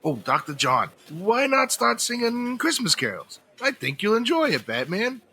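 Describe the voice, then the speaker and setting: Sharp-voiced two-faced radio villain. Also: male voice, very high-pitched, huge pitch swings, normal speed, soft background music, full character voice. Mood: fawning, polite. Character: fawning mission volunteer hiding a bitter, scheming villain. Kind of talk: old-time radio style comedy drama.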